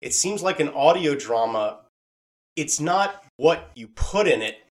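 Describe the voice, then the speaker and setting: A man's voice: on the high side, expressive, a little slow. Man chatting earnestly, rambling as he explains. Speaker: podcast host and audio drama maker. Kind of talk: casual conversation.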